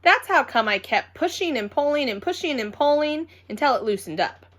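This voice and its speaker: Female storybook reader, highish and very expressive, with emphatic, childlike delivery. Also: normal speed. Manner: childlike, emphatic, dramatic phrasing. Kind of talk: animated scripted children's narration.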